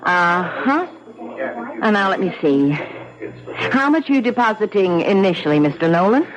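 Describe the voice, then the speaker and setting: A courteous female teller acted by a woman chats in a very lively, singsong voice. The recording is a muffled old radio play with a clear crowd murmur around her. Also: mid-range voice, normal speed, close to an everyday voice. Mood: friendly, businesslike. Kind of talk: 1970s radio drama acting.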